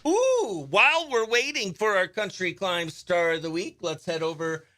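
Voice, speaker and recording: A man presenting a country radio morning show live in an upbeat, animated, chatty and exclamatory way. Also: high voice, normal speed, noisy background.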